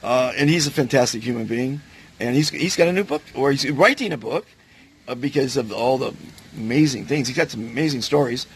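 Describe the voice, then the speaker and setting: A man talking casually and enthusiastically to his camera outdoors, his voice going hoarse from overuse. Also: mid-range voice, brisk. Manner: upbeat, enthusiastic. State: losing his voice. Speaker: vlogger. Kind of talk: casual vlog chat. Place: outdoors at a resort, windy.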